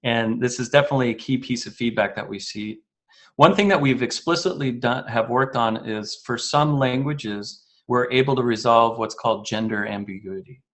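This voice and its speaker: A man's voice: mid-range voice, moderate pace, a bit bright. A man answering interview questions as a guest engineering manager, explaining in a casual way with fillers and self-corrections.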